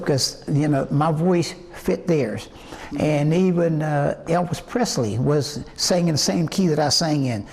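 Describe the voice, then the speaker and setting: Man, 80s, from West Virginia, slightly high voice, rambling interview storyteller. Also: vocal fry, a little mellow. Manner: halting, rambling storyteller with many fillers and restarts. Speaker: singer interviewed about his past. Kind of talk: reminiscing interview answers.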